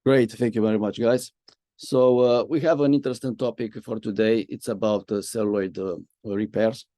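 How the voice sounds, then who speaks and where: Host-type man, low and mellow, dry close sound, video-call moderator with filler-heavy delivery. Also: steady tone, normal speed, dry recording. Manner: frequent "uh" fillers, friendly moderator. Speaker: host, media and communication lead. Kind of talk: webinar hosting.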